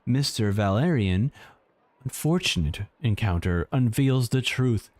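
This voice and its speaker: A man's voice: mid-range voice, unhurried, dry recording. A man streaming a game, reading its text aloud theatrically and adding casual commentary. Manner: animated, theatrical reader.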